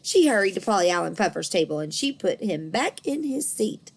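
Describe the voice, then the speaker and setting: A woman reading a children's book aloud in an expressive, animated storytelling manner for young listeners. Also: medium pitch, fairly quick, slightly rough.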